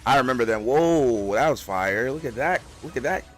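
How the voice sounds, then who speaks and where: A man's voice: medium pitch, animated, moderate pace, soft background music. A man giving casual live reaction commentary as a streamer watching a fan dub.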